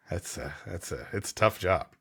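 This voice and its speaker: A man's voice: low-pitched, creaky, fairly bright tone, breathy edge. A man hosting a comedy story podcast, reading prose aloud and bantering with playful, theatrical delivery. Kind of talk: scripted story narration mixed with comic banter.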